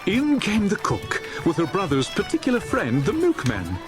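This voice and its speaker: Jovial guy narrating an audiobook drama, super animated, slightly high voice, music playing behind. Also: moderate pace. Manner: theatrical, jovial, relishing lists.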